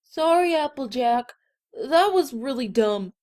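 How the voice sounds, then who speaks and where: A woman's voice: high voice, animated, slow pace, darkish tone. A woman voicing a friendly, eager young boy dragon with a casual, earnest manner in an audio drama. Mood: apologetic. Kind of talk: scripted audio drama character acting.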